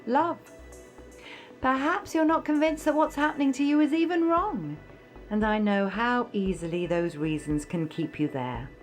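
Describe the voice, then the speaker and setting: A woman's voice: on the high side, a little slow, soft background music, lightly acted. A woman acting an earnest, impassioned abuse support worker in a radio drama, speaking with pleading emphasis.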